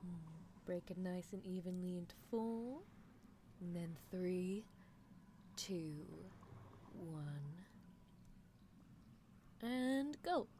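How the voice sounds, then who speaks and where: A woman's voice: on the low side, animated, dark tone, slight background noise. A woman voicing an eerie, playful mad-scientist horse girl in an ASMR roleplay, teasing and murmuring as she dotes on her test subject. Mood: coaxing, teasing. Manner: teasing, unhurried, murmured musing with hums.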